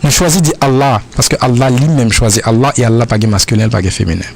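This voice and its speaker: A man's voice: medium pitch, gravelly, a little mellow. Earnest Haitian man answering questions. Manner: earnest, repetitive, halting phrasing.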